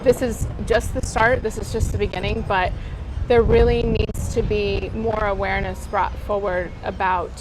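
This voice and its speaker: A woman's voice: medium pitch, fairly even intonation, moderate pace. A woman who is a superyacht captain, chatting earnestly and enthusiastically in an interview outdoors at a boat show, often repeating words for emphasis. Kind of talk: casual interview chat. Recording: noisy outdoor recording.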